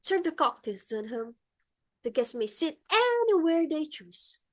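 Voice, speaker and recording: A woman's voice: high voice, sing-song, unhurried. A woman playing a flustered, imperious society mother in a stage comedy, with emphatic, theatrical exclamations. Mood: awestruck, excited. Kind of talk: comedic stage-play acting.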